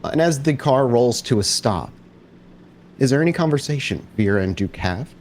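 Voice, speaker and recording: A man's voice: medium pitch, animated, normal speed, a little mellow, slight background noise. A man speaking as host and game master of a tabletop roleplaying podcast, casually chatting and narrating scenes.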